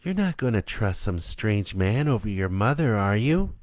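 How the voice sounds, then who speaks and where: A man's voice: fairly low pitch, sing-song, normal speed, a little mellow, no room sound. A man voicing a monstrous shape-shifting mother creature who coaxes sweetly and then shrieks threats. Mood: coaxing.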